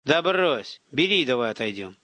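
A man's voice: medium pitch, very animated, moderate pace, sharp tone, dry recording. A man voicing a crude but sympathetic older guard in an audiobook, muttering and grumbling. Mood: placating.

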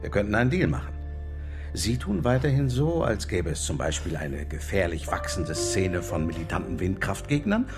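An actor playing a cynical intelligence agent in a radio drama, blunt, matter-of-fact and glib as he makes his pitch. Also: big pitch swings, moderate pace, over music. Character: smug, cynical male intelligence agent recruiting informants. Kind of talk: German radio drama acting.

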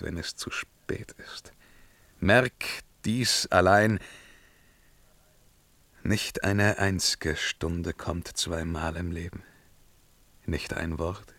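An actor playing a tormented, world-weary emperor in a German verse radio drama, declaiming between outcries and hushed murmurs. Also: male voice, low-pitched, vocal fry, no echo, heavily acted.

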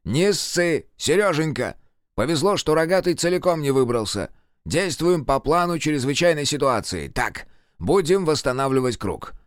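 A man's voice: fairly high pitch, expressive, moderate pace, no echo, studio quality, pitched above the performer's own voice, natural voice. A man voicing a bossy, scheming old grandfather with a crude, commanding manner in an audiobook.

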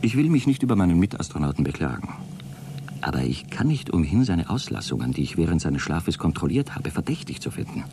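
A man's voice: low-pitched, big pitch swings, a little fast, background noise. A man playing a prim, defensive astronaut with a stilted, pompous manner in a satirical science fiction radio drama. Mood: grave, suspicious. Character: prim, defensive male astronaut keeping a formal log.

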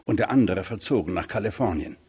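An actor playing a blunt, insistent police detective in a radio play, asking terse, probing questions. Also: male voice, fairly low pitch, animated, quick pace, darkish tone, slightly rough. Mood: stern, probing.

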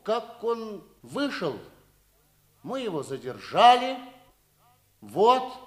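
Nervous tongue-tied young private, high wobbly pitch, super slow and halting, old radio play. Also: male voice, fairly bright tone, heavily acted. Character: timid, shy young soldier afraid of superiors. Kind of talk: 1950s Soviet radio theatre acting.

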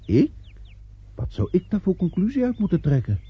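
An actor playing a brisk, politely sceptical newspaper reporter in a radio play, businesslike and wry. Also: male voice, high-pitched, wildly swooping pitch, background noise. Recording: dry, dull old radio play recording.

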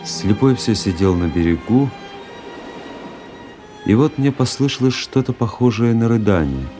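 An actor playing a wry, melancholy army officer who narrates his story in a measured, reflective radio-play style. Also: lowish voice, expressive, over music. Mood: wistful, reflective. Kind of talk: dramatic literary radio narration.

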